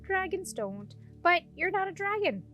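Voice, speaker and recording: A woman's voice: extremely high pitch, big pitch swings, a little slow, bright, forceful tone, faint music behind, voice pushed up, full character voice. A woman voicing a matter-of-fact female dragon in a storybook, a protective guide who instructs and reassures.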